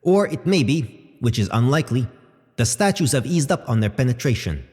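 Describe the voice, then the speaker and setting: A man's voice: medium pitch, very animated, a little mellow, natural voice. Sci-fi audio drama robot, male actor: formal, analytical and unruffled, with swooping intonation, set in long reverb. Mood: clinical, measured. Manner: formal, measured, explanatory. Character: calm analytical male robot companion, precise and unhurried. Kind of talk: scripted audio drama acting.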